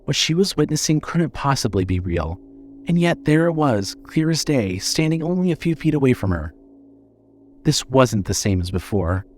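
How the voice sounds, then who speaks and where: Male horror audiobook narrator, dramatic and suspenseful, with a widely moving, expressive pitch. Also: moderate pace, a little hiss or hum. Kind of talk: scripted horror fiction narration.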